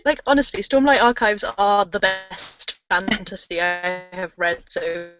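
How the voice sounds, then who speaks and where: A woman's voice: medium pitch, steady tone, a little slow, over music. A woman talking playfully and informally about books on a live panel. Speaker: book reviewer, live show panelist. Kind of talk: casual book discussion chat. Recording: dull lo-fi video-call audio.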